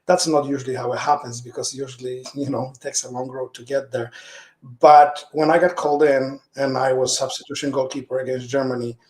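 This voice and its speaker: A man's voice: medium pitch, flat delivery, a little fast, a little mellow. A man from Poland, answering interview questions earnestly, often restarting his phrases as he tells stories. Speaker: interview guest, former pro goalkeeper. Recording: echoey room.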